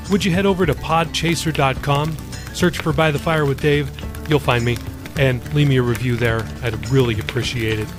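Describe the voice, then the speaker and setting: A man narrating and hosting in an expressive, conversational way. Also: mid-range voice. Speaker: author and podcast host reading own novel. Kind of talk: audiobook narration and podcast outro.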